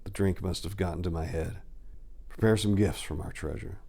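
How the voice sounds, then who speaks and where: A man's voice: sits low, steady tone, normal speed, warm tone, breathy edge. A man voicing a proud, lovestruck and hot-headed warlord prince in a dramatic sword-and-sorcery reading, declaiming with obsessive intensity. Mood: resolute.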